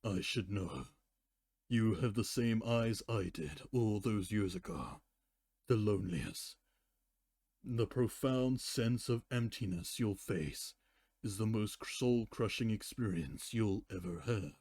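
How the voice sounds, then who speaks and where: A man's voice: fairly low pitch, slow, a little mellow, breathy edge, no room sound, clean studio sound, exaggerated voice. A man voicing a grave, compassionate female pony ruler in a fan fiction reading. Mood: solemn, pleading.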